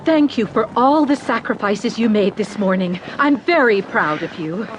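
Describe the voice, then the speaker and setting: A middle-aged actress playing a kind, steady mother in a radio drama, gentle, composed and guiding. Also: medium pitch, normal speed, faint music behind, lightly acted, low-quality recording. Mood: proud, guiding.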